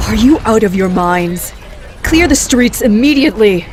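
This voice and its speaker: An actress playing a steady, watchful heroic warrior woman with deliberate, slightly hesitant phrasing. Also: medium pitch, natural voice. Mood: firm. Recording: clear background noise.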